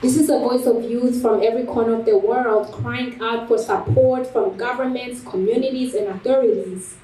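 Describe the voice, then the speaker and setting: A woman delivering a rhetorical spoken word speech in an emphatic, deliberate manner. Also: a little high, steady tone, mellow. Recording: echoey room, distant microphone.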